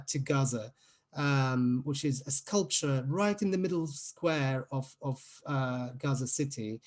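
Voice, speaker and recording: A man's voice: on the high side, darkish tone. A man from Palestine giving an informal talk as a guest writer, explaining things conversationally with hesitant, searching phrasing. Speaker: radio playwright, guest speaker.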